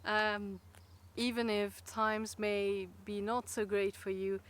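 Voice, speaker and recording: A woman's voice: medium pitch, level tone, takes it slowly, smooth. A woman from Luxembourg with a Luxembourgish accent, whose first language is Luxembourgish, chatting casually to camera in a rambling, hesitant way with many fillers and restarts. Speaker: vlogger.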